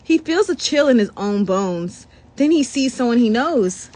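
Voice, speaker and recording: A woman reading a children's picture book aloud, animated, sometimes tripping over words and adding her own comments. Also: a little high, measured pace, slight background noise. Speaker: storybook reader.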